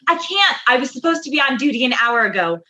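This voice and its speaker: A woman's voice: high voice, big pitch swings, fast talker, pushed and bright, exaggerated voice. A woman playing a flustered, defensive officer in a sci-fi radio comedy, speaking in a curt, agitated, evasive way. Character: flustered, defensive female officer late for duty. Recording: dull lo-fi audio, some noise.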